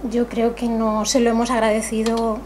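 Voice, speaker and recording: A woman's voice: a little high, completely flat delivery, normal speed, darkish tone. A woman, the café's host, thanking her audience in a grateful, conversational and slightly nervous way. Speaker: café owner and event host. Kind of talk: warm welcome speech at literary event. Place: small cultural café with audience. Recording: noisy room ambience.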